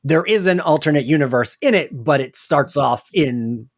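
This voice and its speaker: A man's voice: on the high side, lively intonation, normal speed. A man hosting a podcast in casual, self-deprecating chat, given to giggling. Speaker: podcast host.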